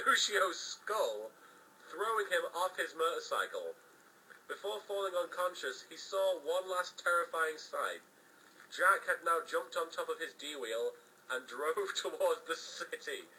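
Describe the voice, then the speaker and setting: A man's voice: a little high, sharp tone, far from the mic, slight background noise, rough recording. A man giving a comedic dramatic reading of fan fiction, theatrical in delivery, with wry joking asides.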